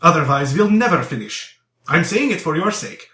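Man acting a frantic, impassioned young man, high-pitched. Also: lively intonation, moderate pace, pushed and bright, distant mic. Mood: eager, insistent. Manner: exclamatory, pleading, repeating words. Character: impassioned, desperate young man under arrest, frantic and effusive. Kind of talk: dramatized audiobook character dialogue. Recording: roomy echo.

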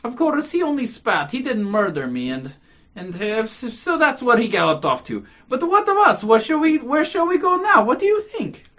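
A woman's voice: mid-range voice, big pitch swings, moderate pace, fairly bright tone. A woman voicing a hysterical, indignant, self-dramatizing society lady in a classic novel reading, exclaiming and rambling in agitation. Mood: agitated, astonished.

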